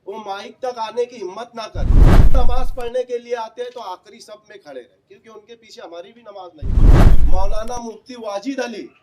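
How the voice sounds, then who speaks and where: A man's voice: high-pitched, moderate pace. A man delivering a confrontational, declarative speech at a public meeting. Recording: noisy, distant microphone, clicks.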